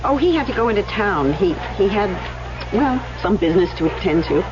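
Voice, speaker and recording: Young female resort guest, played by an actress: animated, insistent, pointed; dull vintage radio drama sound. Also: medium pitch, a little fast, natural voice. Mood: casual, coaxing. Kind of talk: 1970s radio drama acting. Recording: dull, band-limited 1970s radio recording.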